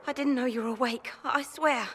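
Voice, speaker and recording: A woman's voice: high voice, steady tone, a little fast, fairly bright tone, breathy edge. A woman playing a humble, flustered young servant in a video game, nervous and deferential. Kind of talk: dramatic video game acting.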